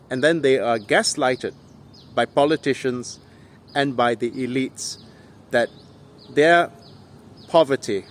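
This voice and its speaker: A man's voice: mid-range voice, a little hiss or hum. A man delivering an opinionated political monologue in an emphatic, indignant, rhetorical manner. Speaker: political commentator.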